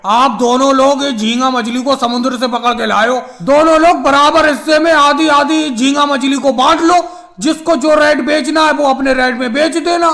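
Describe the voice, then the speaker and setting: A man puts on an extremely high, creaky cartoon voice for a chatty politician caricature. He bickers and chats like a villager in an animated comedy skit. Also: normal speed. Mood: haggling, exasperated.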